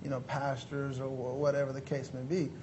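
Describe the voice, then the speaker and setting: A man's voice: mid-range voice, monotone, brisk, a little hiss or hum. A man in his thirties from Mexico, a motivational speaker, answers earnestly and politely with many fillers. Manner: earnest, lots of "you know" fillers, polite "yes sir". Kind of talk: interview answers.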